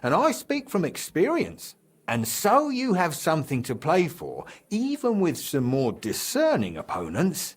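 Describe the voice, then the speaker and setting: A man's voice: on the high side, unhurried, slightly rough, exaggerated voice. A man playing a jovial, middle-aged medieval guildmaster in a video game, speaking heartily. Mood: confiding. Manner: hearty, expressive. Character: jovial, dice-loving older medieval guildmaster, hearty and comradely. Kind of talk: video game character dialogue.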